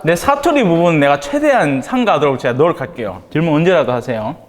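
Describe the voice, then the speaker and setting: Animated Gyeongsang-accented priest in an echoey hall. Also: male voice, fairly high pitch, quick pace. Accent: Gyeongsang Korean. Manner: jocular, colloquial, rhetorical questions to listeners. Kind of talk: informal religious lecture to a small group. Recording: echoey hall with long reverb.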